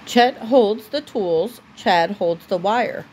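Woman, mid-pitched, unhurried bedtime-story reader, deliberate phrasing, slightly noisy home recording. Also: darkish tone. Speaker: mother reading a bedtime story to her child. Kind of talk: reading a children's storybook aloud.

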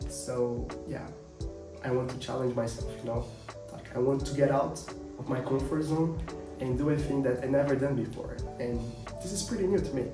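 Young vlogger, Portuguese accent, non-native English, hesitant but sincere; roomy room sound. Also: male voice, medium pitch. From Brazil. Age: 20s. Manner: earnest, halting, searching for words. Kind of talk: casual vlog to camera. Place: small home office. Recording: roomy, a bit distant, music behind.

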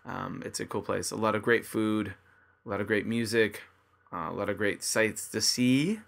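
A man's voice: lowish voice, normal speed, gravelly, fairly bright tone. A man from Canada hosting a podcast, chatting casually and upbeat with his listeners and his guest. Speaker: podcast host. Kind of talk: casual podcast intro and interview. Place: his home in Kobe, Japan.

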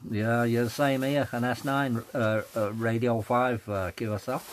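A man's voice: mid-range voice, little pitch movement, measured pace, slight background noise. Man on amateur radio. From England. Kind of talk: casual two-way radio contact using call signs.

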